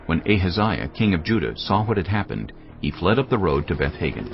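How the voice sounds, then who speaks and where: A man's voice: low voice, sing-song, a little fast, creaky. A man narrating a dramatised audio Bible as a scripted, dramatic reading. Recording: dull lo-fi audio, music bed.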